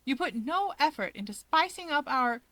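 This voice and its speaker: A woman's voice: high voice, expressive, normal speed, a bit bright, no room sound, pitched above the performer's own voice. A woman voicing a playful, theatrical young man in a story reading, teasing and melodramatic. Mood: mock wounded. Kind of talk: expressive fan fiction character reading.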